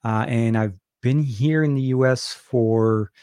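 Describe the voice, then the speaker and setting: A man with a Lebanese accent hosts a relaxed live Q&A, answering viewers' questions as they come in. He talks in a loose, rambling way and often restarts his sentences. His voice sits at an ordinary pitch and sounds dry and close to the mic. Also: takes it slowly, clear, resonant tone, dry recording. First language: Arabic. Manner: rambling, many fillers and restarts. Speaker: tech channel host answering live chat. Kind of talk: casual live Q&A.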